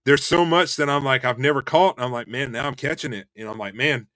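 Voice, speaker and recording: A young male host and tribute singer chatting casually over a video call. His voice sits at an ordinary middle pitch. The sound is dry and close, and he talks in loose, repeating bursts full of "man" and "dude". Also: brisk, dry recording. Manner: relaxed and chatty, repeats words and says "man" and "dude" a lot. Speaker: host and Elvis tribute artist. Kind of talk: casual interview chat.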